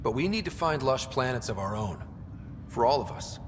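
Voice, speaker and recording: A man's voice: mid-range voice, lively intonation, moderate pace, lightly acted. A man playing an earnest young space explorer hero in a video game. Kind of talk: scripted video game dialogue. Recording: reverberant, clearly noisy background.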